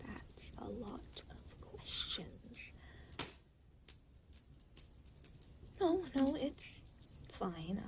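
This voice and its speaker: A woman's voice: medium pitch, noisy background. A woman playing an aloof, reluctant, weary demon guide in an intimate audio roleplay, speaking in a dry, matter-of-fact, hesitant way. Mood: overwhelmed, patient. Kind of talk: intimate scripted audio roleplay spoken to the listener.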